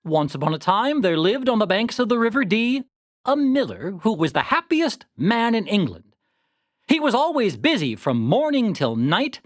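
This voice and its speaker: A man's voice: sits high, no echo, studio quality. A man reading a children's story aloud as scripted narration. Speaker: story reader.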